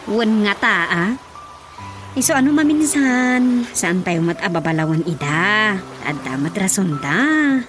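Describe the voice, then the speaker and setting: A woman's voice: mid-range voice, lively intonation, measured pace, music in the background, natural voice. A woman playing a councilman's homely wife in an Ilocano radio drama comedy, talking in a conversational, questioning way.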